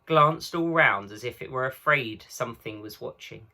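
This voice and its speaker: Bright-voiced man reading a storybook aloud. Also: mid-range voice, moderate pace. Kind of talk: storybook narration for children.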